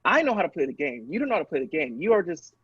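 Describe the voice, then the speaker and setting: A man's voice: on the high side, sing-song, very fast, fairly bright tone, husky, dry recording, exaggerated voice. A man playing a smug, scheming angel in an improvised comedy, recorded with a dull sound. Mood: smug, threatening. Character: smug, scheming favorite angel, secretly evil.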